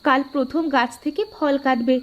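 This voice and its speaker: A woman's voice: high-pitched, steady tone, moderate pace, muffled recording, natural voice. A woman voicing a practical, firm village wife and mother in a cartoon, homely and assertive. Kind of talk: Bengali cartoon voice acting.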